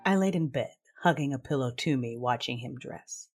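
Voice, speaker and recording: An actress playing a proud, passionate but guarded woman warrior, narrating an audio drama in the first person in a breathy, intimate, measured way. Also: very deep voice, animated. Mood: sensual, tender. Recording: music under the voice. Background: music.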